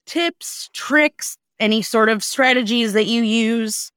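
A woman's voice: on the high side, slow pace, fairly bright tone, clean tone, no room sound, studio quality. A woman co-hosting a podcast in casual, conversational chat, speaking with emphasis. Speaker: podcast co-host.

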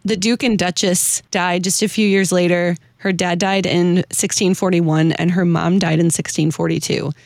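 A woman's voice: fairly low pitch, flat delivery, moderate pace, gravelly, hardly any pauses, darkish tone, dry recording, clean studio sound. A woman hosting a podcast, telling a researched story in a chatty, enthusiastic way with self-deprecating asides. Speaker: podcast host. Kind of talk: casual, researched storytelling to co-hosts.

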